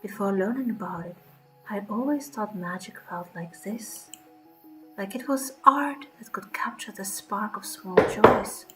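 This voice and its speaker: A woman streamer reading horror game narration aloud in a dramatic, breathy storytelling way, with short reactions of her own. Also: mid-range voice, a little slow, poor audio. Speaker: streamer playing a horror visual novel. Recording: background music under the voice.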